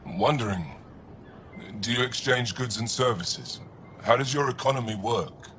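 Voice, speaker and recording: An actor playing a gruff alien cargo trader in a video game, speaking in terse, clipped phrases. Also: male voice, medium pitch, moderate pace, a bit bright, natural voice. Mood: probing, curious. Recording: clear background noise.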